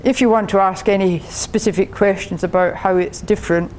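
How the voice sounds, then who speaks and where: A woman's voice: medium pitch, steady tone, brisk, darkish tone, a little hiss or hum, rough recording. Chatty Scottish woman giving a talk. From Scotland. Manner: chatty, laughs often, many restarts. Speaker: guest speaker, professor. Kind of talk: technical talk to a radio club.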